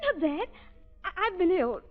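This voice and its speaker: A woman's voice: very high-pitched, very animated, a little slow, faint music behind, full character voice. A woman playing a frightened, frail young heiress in a 1930s radio drama, breathless and melodramatic. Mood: horrified. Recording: muffled vintage 1930s radio recording.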